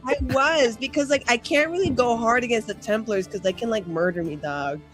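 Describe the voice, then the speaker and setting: High-voiced, slangy woman gleefully scheming, music behind. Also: fairly quick, low-quality recording. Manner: slangy, profane, theatrically gleeful about scheming. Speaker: player in a tabletop roleplaying show. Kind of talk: casual, animated post-game chat.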